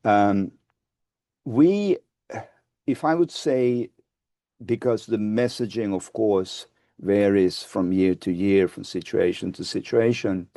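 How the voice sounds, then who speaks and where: A Finnish man in his sixties with a Finnish accent, answering interview questions in a measured, hesitant way, seated in a studio on a lapel mic. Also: on the low side, mellow, no room sound. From Finland. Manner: measured, hesitant restarts, gestures with both hands. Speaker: guest, advocacy group founder. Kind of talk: webinar interview. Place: studio with dark backdrop, seated.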